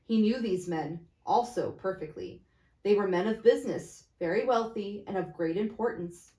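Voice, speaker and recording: A woman's voice: medium pitch, normal speed, mellow. A woman reading classic fiction aloud as an amateur storyteller, stumbling now and then over her words. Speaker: storybook reader. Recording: echoey, roomy sound.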